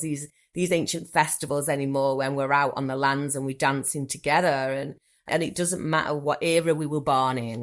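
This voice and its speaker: Low-voiced Mancunian woman, enthusiastic. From Manchester, England. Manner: enthusiastic, wandering, repeats words as she thinks. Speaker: podcast guest, therapist. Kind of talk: reflective conversational interview.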